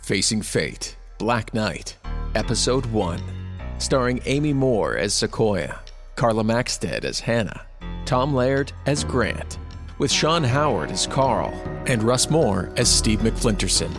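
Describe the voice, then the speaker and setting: A man's voice: lowish voice, sing-song, slow pace, faint music behind. A man reading a scripted end-credits announcement as the producer of the show.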